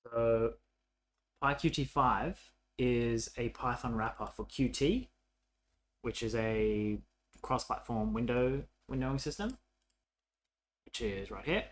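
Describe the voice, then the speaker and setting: A man's voice: medium pitch, slow pace, long pauses. A man narrating a casual coding tutorial as an instructor, informal and thinking aloud as he works.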